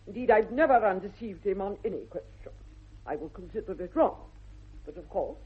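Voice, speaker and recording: Haughty older English lady, upper-class accent, swooping pitch, imperious, radio comedy, thin vintage recording. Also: breathy edge. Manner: haughty, declamatory, precisely articulated. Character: imperious, formidable aristocratic English mother and aunt. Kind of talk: 1960s radio comedy acting. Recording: tinny, band-limited vintage radio recording.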